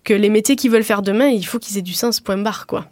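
A woman's voice: mid-range voice, quick pace, a little mellow, dry recording. Woman in her thirties, dry studio sound. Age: 30s. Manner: thinking aloud with many restarts and fillers. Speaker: guest, network coordinator. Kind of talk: casual radio interview. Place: radio studio.

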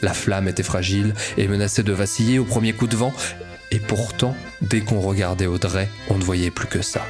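An actor playing a solemn storyteller, reading lyrical scripted narration in an audio drama. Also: male voice, lowish voice, fairly even intonation, brisk, music in the background, close to an everyday voice. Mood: solemn, poetic.

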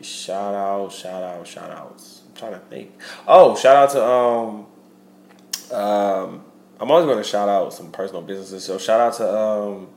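A man's voice: fairly low pitch, a little mellow. A young man hosting a solo podcast, talking loosely with slang, fillers and restarts, and sounding stuffy from a cold. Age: young adult. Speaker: podcast host. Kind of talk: casual solo podcast monologue. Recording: roomy echo with faint ambient noise.

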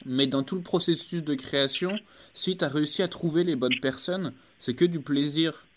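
A man interviewing a guest, asking relaxed, encouraging questions. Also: a little high, normal speed, slight background noise. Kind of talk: casual interview.

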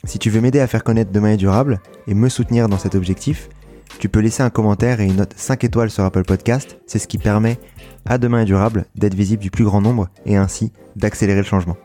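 A man's voice: lowish voice, fairly even intonation, mellow, faint music behind. A man reading a scripted podcast call-to-action, speaking directly to the listener. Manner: brisk, direct address to listener. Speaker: podcast host. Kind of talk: scripted promo read.

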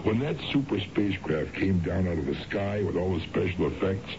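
An actor playing a middle-aged husband, colloquial and dismissive, bickering in a radio drama. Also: male voice, deep voice, expressive, fairly quick. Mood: enthusiastic, casual. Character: exasperated middle-aged husband, a science fiction fan. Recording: dull lo-fi radio with clear noise.

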